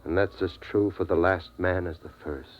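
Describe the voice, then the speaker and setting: An actor playing a steadfast, philosophical middle-aged father, speaking in an earnest, measured, dramatic 1950s radio style. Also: low voice, steady tone, lightly acted. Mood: resolute, reflective. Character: steadfast, philosophical father, last man guarding his family. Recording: dull vintage radio recording.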